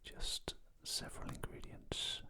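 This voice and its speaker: A cooking host whispering a gentle, unhurried ASMR narration in a breathy, unvoiced voice, with pauses between phrases.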